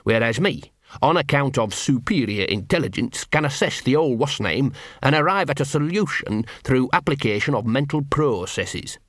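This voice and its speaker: A man's voice: mid-range voice, animated, moderate pace, a bit bright, no echo, studio quality. A man voicing a scruffy small male street dog, grumbling sarcastically through clenched teeth in a storybook reading. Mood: scheming. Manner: sarcastic, self-pitying mutter.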